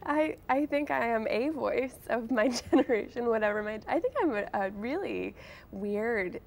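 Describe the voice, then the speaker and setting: A woman's voice: a little high, animated, moderate pace, gravelly, a little hiss or hum. A woman in her late twenties talking casually as an interview guest, wry, self-deprecating and chatty. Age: late 20s. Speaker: author and blogger guest.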